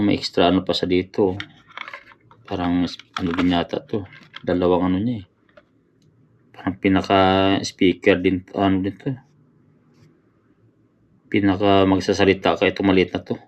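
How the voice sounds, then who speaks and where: Low-voiced man, halting casual unboxing. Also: lo-fi sound. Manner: halting, searching for words, addresses viewers often. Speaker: motorcycle vlogger.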